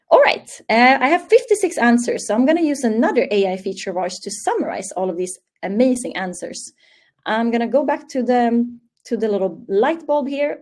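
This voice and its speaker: A woman's voice: medium pitch, a little mellow. A young woman from Sweden with a Swedish accent, English her second language, presenting a live online training webinar in a friendly, upbeat way over a webcam call. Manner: friendly, upbeat, reading out audience answers. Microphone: webcam video call.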